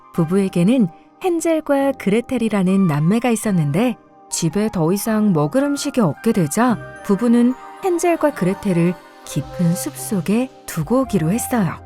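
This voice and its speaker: A woman's voice: mid-range voice, very animated, normal speed, warm tone. A woman narrating scripted fairy tales as a storyteller for children. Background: faint music.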